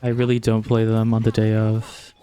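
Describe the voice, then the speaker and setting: A man's voice: fairly low pitch, monotone, moderate pace, dark tone. A man streaming a video game and chatting casually as he plays. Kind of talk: casual chat while playing a game.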